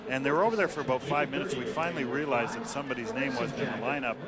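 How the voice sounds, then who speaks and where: A man's voice: medium pitch, racing, a loud crowd. A middle-aged man, a former player working as color commentator, telling self-deprecating anecdotes in casual broadcast chat. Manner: conversational, self-deprecating storytelling.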